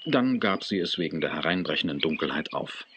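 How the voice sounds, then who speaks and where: An actor as the calm narrator of a German children's adventure audio drama, reading scripted narration. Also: male voice, extremely low pitch, fairly quick. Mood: calm, descriptive. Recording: noisy 1980s cassette audio.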